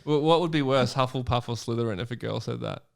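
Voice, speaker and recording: A man bantering casually and reading a story aloud as a podcast guest, teasing in a deadpan, ironic way. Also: medium pitch, quick pace, creaky. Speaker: guest reader on a comedy podcast.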